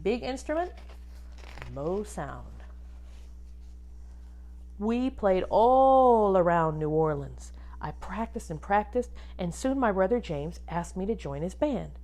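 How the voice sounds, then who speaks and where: Animated woman reading aloud to children. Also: mid-range voice, takes it slowly. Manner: expressive, instructive, asks the listeners questions. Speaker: music teacher reading a picture book to pupils. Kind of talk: children's read-aloud with questions.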